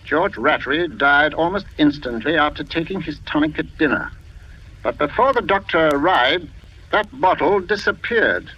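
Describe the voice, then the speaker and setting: An actor playing a composed police inspector in an old radio drama, formal, deliberate and insinuating. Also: male voice, fairly high pitch, expressive, pushed and bright, slightly rough, exaggerated voice. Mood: accusing, calm. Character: composed, probing police inspector, firm and methodical. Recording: muffled old radio recording, faint noise.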